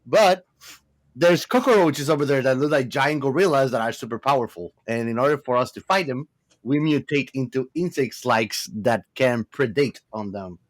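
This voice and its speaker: A man's voice: medium pitch, moderate pace. A man co-hosting a podcast, chatting casually in an informal, slangy way. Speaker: podcast co-host. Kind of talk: casual chat.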